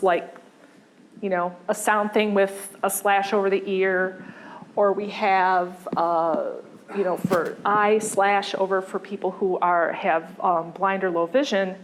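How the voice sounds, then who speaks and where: A woman giving a conversational design lecture, pausing often with fillers and restarting her sentences. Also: mid-range voice.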